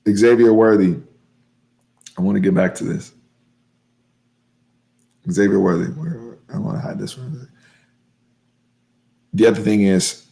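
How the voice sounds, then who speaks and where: Hoarse, gravelly man recapping a game. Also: low-pitched. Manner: excitable, emphatic, repeats phrases. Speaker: host of a fan sports channel. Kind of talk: live-stream game recap, casual.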